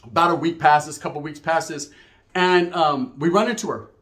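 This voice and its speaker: A man's voice: on the high side, moderate pace, a bit bright. A middle-aged man with a Chicano English accent tells stories casually, acting out dialogue in profane slang mixed with Spanish phrases. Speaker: storyteller.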